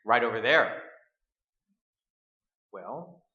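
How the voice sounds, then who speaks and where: A man's voice: mid-range voice, expressive, very slow. A man playing a mocking, irreverent brother in a staged radio play, with a sardonic, taunting manner. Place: stage, live performance. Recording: roomy, muffled stage recording.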